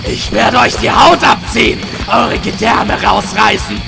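High, gravelly, crazed hermit monster. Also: male voice, a little slow, full character voice. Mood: menacing. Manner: ranting theatrical threats. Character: crazed, bloodthirsty hermit monster of the woods, male, comically menacing. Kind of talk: German audio horror-comedy acting. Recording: background music under the voice.

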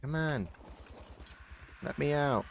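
A man narrating his gameplay in a casual, muttering way, his voice going hoarse and now and then breaking into loud frustrated outbursts. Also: fairly high pitch, slow, a little hiss or hum. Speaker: gaming channel host.

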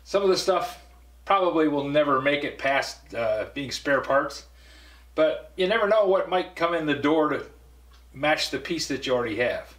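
A man's voice: a little high, lively intonation, moderate pace, fairly bright tone. An older man giving a casual, folksy tour of his workshop, rambling through anecdotes with dry asides. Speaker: woodworker giving a shop tour. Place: cluttered basement workshop, indoors. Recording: echoey workshop room.

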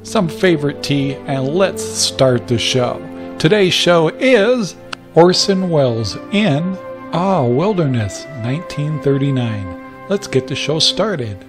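A man introducing a classic radio podcast episode in a relaxed, inviting manner. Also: on the high side, slow pace, over music. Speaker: podcast host.

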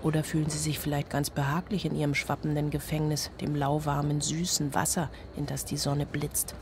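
A woman's voice: low voice, little pitch movement, brisk, background noise. An actress playing a weary, brooding single mother in a radio drama monologue, loving yet overwhelmed. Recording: music behind the voice.

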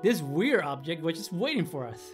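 Cocky, swooping star golfer. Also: male voice, fairly high pitch, big pitch swings, moderate pace, faint music behind. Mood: storytelling, dramatic. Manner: boastful, theatrical. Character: cocky, dramatic male star robot golfer. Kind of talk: anime-style video game voice acting.

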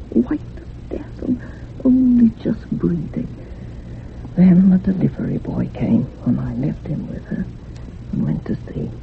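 An actress playing a troubled, gossipy older housekeeper in a radio thriller, rambling dramatically and sometimes dropping to a hush. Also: female voice, medium pitch, vocal fry. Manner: rambling, dramatic, hushed at moments. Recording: muffled phone-like radio recording with hiss.

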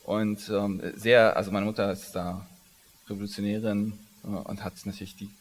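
A man's voice: fairly low pitch, level tone, moderate pace. Hesitant man in a big reverberant hall. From Iran. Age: 30s. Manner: hesitant, many restarts and fillers. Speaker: company founder, interviewee. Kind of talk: onstage conversational interview. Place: large conference hall stage.

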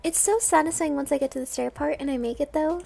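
Female game streamer, high voice with vocal fry, unhurried casual commentary, faint music behind. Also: dark tone. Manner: muttering reactions to herself and chatting with viewers.

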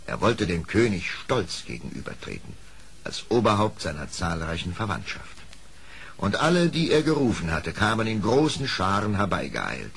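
A man's voice: on the low side, big pitch swings, normal speed, creaky, a bit bright, heavily acted. A man narrating a scripted storybook tale in a dramatic storytelling manner.

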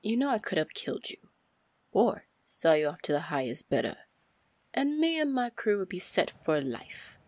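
A woman playing a seductive, commanding female pirate lord in an ASMR fantasy roleplay, with a teasing manner and breathy near-whispers. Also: medium pitch, very animated, normal speed, darkish tone, no room sound. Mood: threatening. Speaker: roleplay audio performer.

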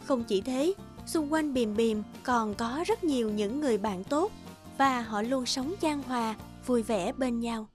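A woman's voice: fairly high pitch, unhurried, music in the background. A woman narrating a children's fairy tale in a scripted storybook style.

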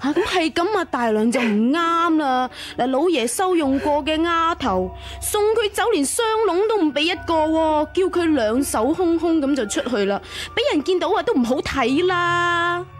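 Gossipy female matchmaker, high voice, chatty, ingratiating, Hong Kong Cantonese, radio drama. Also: expressive, moderate pace, hardly any pauses, soft background music. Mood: sympathetic, gossipy.

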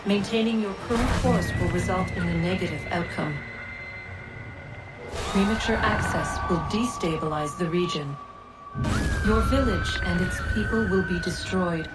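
An actress playing a solemn, all-knowing female spirit guide in a video game, speaking gravely and formally like an oracle. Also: lowish voice, close to an everyday voice. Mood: solemn, commanding. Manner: slow, grave, formal and oracular. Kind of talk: dramatic game voice acting. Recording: distant voice under loud music.

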